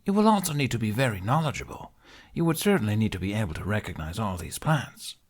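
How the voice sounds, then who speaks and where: A man's voice: lowish voice, animated, fast. A man voicing a kindly, teasing elderly village grandmother with a dry manner in an audiobook. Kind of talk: audiobook character voice.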